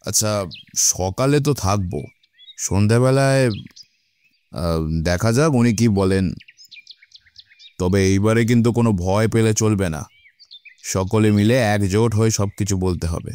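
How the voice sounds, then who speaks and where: A man's voice: fairly low pitch, takes it slowly, pauses often, a little mellow, clear, resonant tone. A man playing an earnest village vegetable seller in a cartoon, respectful but resolute. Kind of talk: cartoon voice acting.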